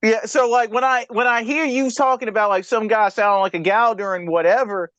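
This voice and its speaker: A man's voice: sits high, fairly even intonation, brisk, a bit bright, no room sound, muffled recording. A man on a podcast panel, joking and teasing in casual group banter.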